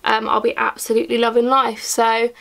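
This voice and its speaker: A woman's voice: a little high, completely flat delivery, a little fast, creaky, a bit bright. A woman of twenty-six, chatting casually to camera while doing her makeup, rambling with ums and likes. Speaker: beauty vlogger. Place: small room indoors.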